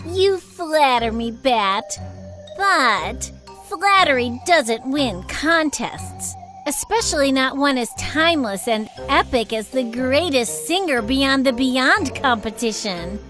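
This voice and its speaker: A woman playing a vain, grand singing queen of the dead in an animated film, haughty, languid and self-satisfied. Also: high-pitched, slow pace, soft background music, full character voice. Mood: fussy.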